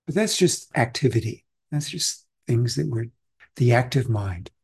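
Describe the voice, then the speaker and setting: A man from Austria, a meditation teacher, giving a calm, reflective and conversational Zen dharma talk. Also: medium pitch, a little slow, vocal fry, husky.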